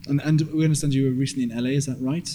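A man's voice: mid-range voice, steady tone, very fast, warm tone, slight background noise. A man hosting a podcast in a casual interview style. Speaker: podcast host.

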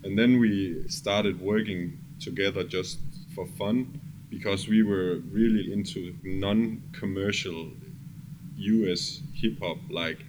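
A man's voice: low voice, fairly even intonation, slow. A man with a Danish accent, a music producer casually recalling his work in an interview in non-native English. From Denmark. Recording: clearly noisy background ambience.

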